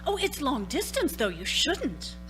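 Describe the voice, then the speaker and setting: A woman's voice: sits high, very animated, a little slow, music in the background. A woman playing a polite, concerned housewife and mother in a radio play, motherly and a little hesitant. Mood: friendly.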